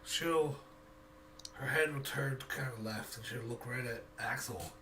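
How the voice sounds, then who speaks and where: Bright-voiced man running a tabletop game. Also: mid-range voice, recorded from a distance, a little hiss or hum. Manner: halting, thinking aloud. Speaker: game master. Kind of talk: improvised tabletop game narration.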